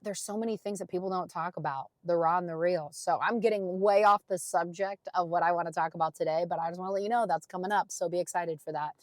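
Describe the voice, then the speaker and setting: A young woman with a deep, raspy voice talks straight to her listeners in an earnest, motivational podcast. She drives her points home with emphasis, dropping casual "like" and "gosh" as she goes. The sound is dry and close, with no room around her. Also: steady tone, fast talker, hardly any pauses, dry recording. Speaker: podcast host. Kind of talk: motivational solo podcast talk.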